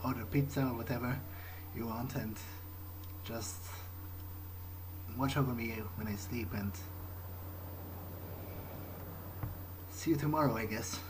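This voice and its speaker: Halting, awkward male vampire played by a gamer. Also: medium pitch, natural voice. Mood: awkward, gentle. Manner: halting, tentative, understated. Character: hesitant, awkward, protective male vampire, musing aloud. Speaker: gamer playing in character. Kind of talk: improvised in-character video game roleplay. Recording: noisy recording with game ambience.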